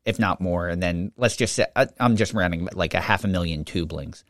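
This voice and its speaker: A man's voice: low-pitched, brisk, a bit bright, dry recording, clean studio sound. A man co-hosting a podcast in casual chat, rambling and self-interrupting, laughing easily and sounding a little congested. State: slightly congested after nose surgery. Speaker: podcast co-host.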